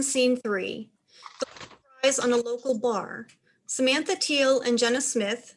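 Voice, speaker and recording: A woman's voice: fairly high pitch, fairly bright tone. A woman reading out stage directions as the narrator of a dramatized play reading.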